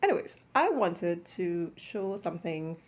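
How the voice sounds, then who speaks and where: A woman's voice: fairly low pitch, very animated, measured pace, highs cut off. A woman dressmaker talking casually to a tripod camera in her home sewing studio, rambling and chatty with a slightly stuffy, under-the-weather voice. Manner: chatty and rambling, many fillers and restarts. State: a little stuffy from a cold. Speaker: vlogger and dressmaker. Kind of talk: casual vlog chat to camera.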